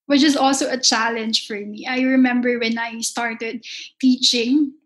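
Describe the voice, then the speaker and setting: A young woman with a Filipino accent, a culinary instructor answering interview questions with halting, careful phrasing and frequent restarts. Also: on the high side, steady tone, a bit bright, low-quality recording. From Philippines. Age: young adult. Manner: halting, many restarts, speaks with care.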